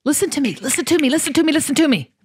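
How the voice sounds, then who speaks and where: Southern lady podcast host, voice a bit high, folksy pep talk, really hammers her points. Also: animated, rapid-fire. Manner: emphatic, folksy, repeats phrases for emphasis. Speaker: show host.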